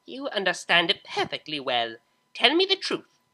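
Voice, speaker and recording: A woman's voice: lowish voice, huge pitch swings, normal speed, pushed and bright, full character voice. A woman voicing a domineering older pony mare, a stern mother with a scornful, condescending manner. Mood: angry.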